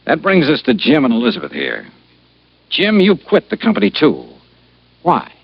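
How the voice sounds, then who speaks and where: An actor playing a tough, blunt insurance investigator in an old-time radio detective drama. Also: male voice, on the high side, huge pitch swings, moderate pace, vocal fry, breathy edge, dry recording, heavily acted. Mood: accusing. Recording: dull vintage radio recording.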